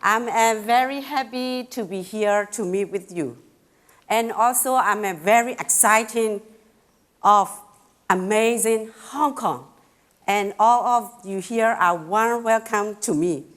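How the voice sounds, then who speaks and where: Slow careful karen-accented lady, bit nervous, halting with lots of pauses and uhs. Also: medium pitch, a bit bright. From Burma. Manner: halting, simple phrasing with pauses and fillers. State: a little nervous. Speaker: speaker at a talk. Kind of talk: prepared personal talk.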